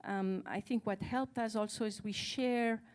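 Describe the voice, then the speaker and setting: A woman co-translator who is a native Farsi speaker, talking on a panel, hesitant and pausing often to search for words. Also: mid-range voice, fairly even intonation, a little mellow. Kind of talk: casual panel talk.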